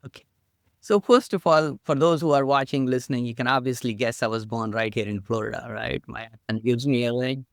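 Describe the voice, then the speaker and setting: Middle-aged Indian-accented man, podcast guest, playful and lively, smooth voice in a dry room. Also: medium pitch, brisk, dry recording. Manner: animated, playful and self-mocking. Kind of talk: casual interview talk.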